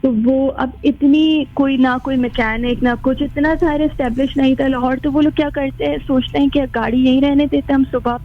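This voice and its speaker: A woman's voice: sits high, fairly even intonation, moderate pace, hardly any pauses, faint music behind. A woman calling a radio show by phone, telling a story casually and mixing in English words. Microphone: phone call. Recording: dull lo-fi phone-in line.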